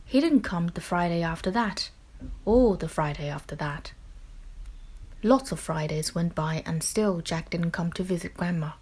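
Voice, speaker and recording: A woman reading a children's picture book aloud in expressive, deliberate narration with dramatic phrasing. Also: on the low side, husky. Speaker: children's story reader.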